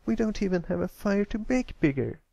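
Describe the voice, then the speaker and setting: A man's voice: sits high, lively intonation, a little fast, creaky, warm tone, dry recording, muffled recording, pitched above the performer's own voice, full character voice. A man voicing a brash, bossy young pony filly with an impatient, complaining manner in a cartoon fan story reading. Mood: annoyed, complaining.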